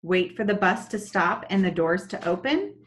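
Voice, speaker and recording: A young woman teaching children in an online lesson, talking slowly and in a sing-song way over a laptop webcam. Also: on the low side, expressive. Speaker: special education teacher. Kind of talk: online lesson with screen share. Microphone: laptop webcam, video call.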